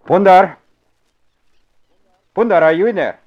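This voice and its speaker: A man's voice: high-pitched, a little slow. A man in a 1940s radio drama playing a dignified foreign consul with a formal, stately and imperious manner. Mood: indignant. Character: dignified, indignant foreign consul demanding answers. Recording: dull vintage radio recording.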